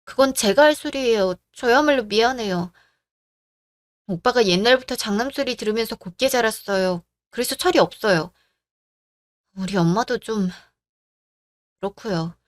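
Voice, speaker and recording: A woman's voice: a little high, expressive, moderate pace, long pauses, dry recording, clean studio sound, lightly acted. A woman voicing a friendly young sister-in-law.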